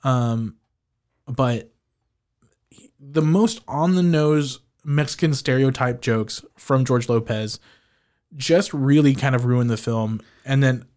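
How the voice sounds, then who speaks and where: A man co-hosting a podcast in casual chat about a film. His mid-pitched voice is animated, and he often trips over his words and restarts. He laughs now and then as he talks. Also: takes it slowly. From Filipino heritage, living in the United States. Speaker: podcast co-host. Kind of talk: casual movie review chat.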